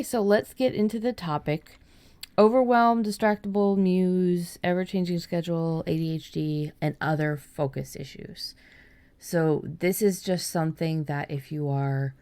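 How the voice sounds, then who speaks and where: A woman hosting a writing podcast in casual chat, talking in quick bursts with many restarts and fillers. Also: low voice, dark tone, clean tone. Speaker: podcast host.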